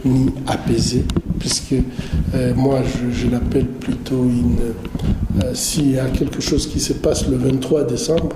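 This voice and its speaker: A man giving political commentary in an interview, speaking deliberately and often searching for words. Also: medium pitch, little pitch movement. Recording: roomy echo, clearly noisy background.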